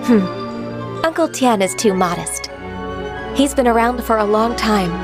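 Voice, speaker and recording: A woman voicing a gentle, polite young secretary in a video game, soft-spoken and formal. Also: a little high, animated, takes it slowly, over music.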